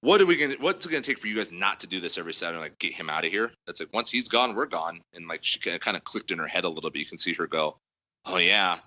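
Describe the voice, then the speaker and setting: Deep-voiced man telling a rambling story. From Denver area. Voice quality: loud voice. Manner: rambling storytelling, heavy fillers. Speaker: guest musician. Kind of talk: casual interview chat.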